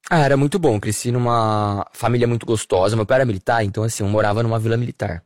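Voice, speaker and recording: A Brazilian man in his thirties, an interview guest, telling childhood memories in a warm, nostalgic way with many fillers. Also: lowish voice, fast, no room sound, studio quality. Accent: Brazilian Portuguese. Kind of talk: relaxed personal conversation.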